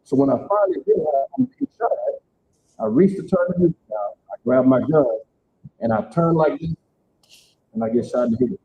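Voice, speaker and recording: Gravelly man telling his story. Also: big pitch swings, moderate pace. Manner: storytelling, emphatic, plain-spoken. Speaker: speaker at community event. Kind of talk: personal testimony to a live audience. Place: church event hall. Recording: tinny phone-like sound, faint rumble.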